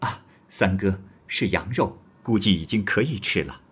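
Graceful young woman in an old tale, voiced by a man storyteller, soft-spoken and husky. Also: low voice, moderate pace, heavily acted. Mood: polite.

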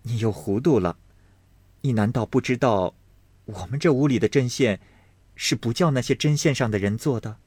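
A man doing a soft-spoken gentle young maid. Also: mid-range voice, moderate pace, husky, dry recording, natural voice. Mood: mild, coaxing. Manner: soft, hushed, mild. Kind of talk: audiobook reading of a classic novel.

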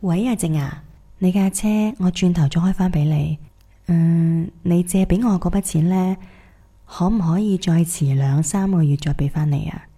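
A woman acting a successful but weary career woman in a Cantonese radio play. Her voice is mellow and a little low, with a modest, self-deprecating manner. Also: moderate pace, husky. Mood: awkward, pleading. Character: successful female finance worker, modest, weary former class monitor. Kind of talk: radio drama dialogue.

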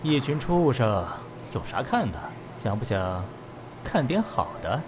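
A man's voice: mid-range voice, big pitch swings, a little slow, background noise. A man playing a brash, nosy garage mechanic in an audio drama, talking in a blunt, slangy, familiar way. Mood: scornful, enticing.

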